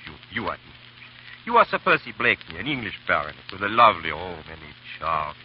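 An actor playing an earnest, worried French patriot and loyal comrade in 1930s radio drama style, intense and dramatic. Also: male voice, low voice, big pitch swings, quick pace. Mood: impassioned. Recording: muffled, hissy 1930s radio recording.

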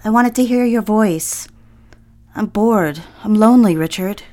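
A woman's voice: medium pitch, unhurried, warm tone, natural voice. A woman playing a lovelorn, petulant young housewife and mother in a period audio drama, pleading and wistful with old-fashioned phrasing. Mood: needy, petulant.

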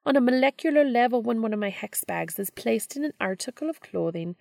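Casting: woman to play smug grousing witch, Scottish brogue, high and very animated, for narrated fiction. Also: brisk, gravelly, no echo, studio quality. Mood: exasperated, smug. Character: smirking Scottish witch, grousing, bemused, haughty spellcaster.

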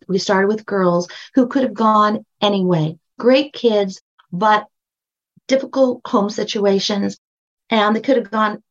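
Older woman guest, high voice, emphatic storyteller, dull lo-fi sound. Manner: emphatic, storytelling, repeats phrases for stress. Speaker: guest, brand strategist and book coach. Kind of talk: podcast interview.

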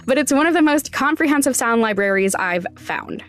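A woman's voice: high voice, expressive, fairly quick. A young adult woman hosting a podcast, narrating conversationally in a candid, informal, wryly self-deprecating way. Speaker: podcast host and sound designer.

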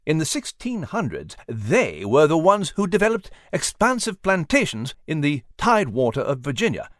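A man voicing a polite, scholarly gentleman mouse with a formal, professorial manner in an animal storybook. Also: fairly high pitch, huge pitch swings, normal speed, dry recording, studio quality. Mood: lecturing.

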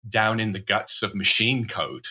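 A middle-aged Welsh man with a fairly low voice and a bright, forceful edge. He talks casually as a podcast guest and trails off into self-deprecating asides. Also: measured pace, slightly rough. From Wales. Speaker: guest, cybersecurity evangelist.

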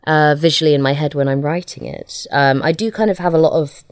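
A woman with a low voice answers interview questions in a casual way. She feels her way through sentences, stopping and rephrasing. The sound is dry and close, with very little room on it. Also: steady tone, no room sound. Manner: halting, self-correcting. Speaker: interview guest, audio drama writer.

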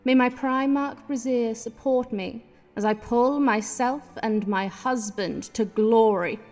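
An actress in a radio play as an ambitious, scolding, scheming politician's wife. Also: female voice, a little high, slow, a little mellow, faint music behind. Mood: incantatory, scheming.

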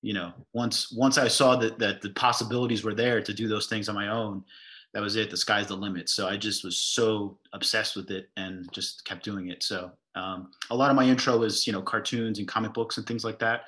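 A man speaking as an artist on an online panel, relaxed with frequent fillers, over a video call. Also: lowish voice, fairly quick. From United States. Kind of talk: casual panel talk.

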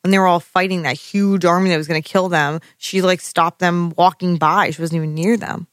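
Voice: medium pitch, fairly even intonation, brisk, a bit bright, no echo, clean studio sound. A co-host in a casual TV episode recap, rambling and trailing off while searching for names. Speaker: podcast co-host.

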